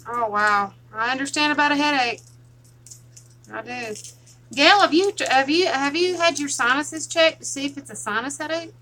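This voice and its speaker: A woman hosting a casual crafting livestream, chatting softly with viewers while she works. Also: sits high, normal speed. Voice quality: soft, relaxing voice.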